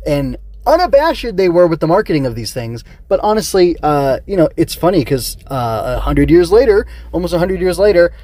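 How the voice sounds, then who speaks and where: A man's voice: on the high side, sing-song, moderate pace, warm tone, no echo. A middle-aged man hosting a solo podcast, talking casually and rambling with digressions. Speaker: podcast host. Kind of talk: casual solo review chat.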